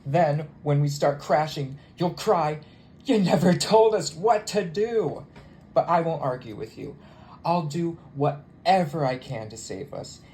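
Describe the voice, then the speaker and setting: A man's voice: a little high, animated, unhurried, dark tone, slight background noise. Bitter, sarcastic jilted flight attendant, acted by a man. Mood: resigned, bitter. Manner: sarcastic, wounded, emphatic. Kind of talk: theatrical solo monologue as cabin announcement.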